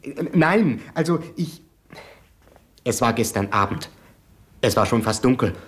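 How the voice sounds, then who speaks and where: A man's voice: mid-range voice, expressive, measured pace, a bit bright. An actor playing a nervous man in his thirties, a village poacher, who stammers and rambles while questioned in a radio crime play. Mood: flustered. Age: 30s. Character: nervous 33-year-old village poacher, folksy, evasive. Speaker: suspect under questioning. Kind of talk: 1970s radio crime drama acting.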